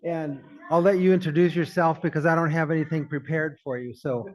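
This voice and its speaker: A man's voice: on the high side, steady tone, fairly quick. A man moderating a session, handing over to the next speaker in a casual, unscripted way with ums. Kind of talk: informal introduction between speakers.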